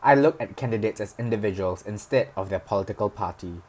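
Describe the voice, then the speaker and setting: A man's voice: lowish voice, fast talker, a little mellow. A young man, presenting scripted introductions and prompts for a discussion show. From Singapore. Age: young adult.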